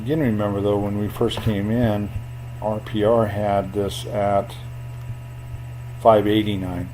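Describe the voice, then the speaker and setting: Low-pitched man, flat and unhurried, for a screen tutorial with faint hum. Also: poor audio. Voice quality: self-described boring voice. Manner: plain, unhurried explaining with fillers.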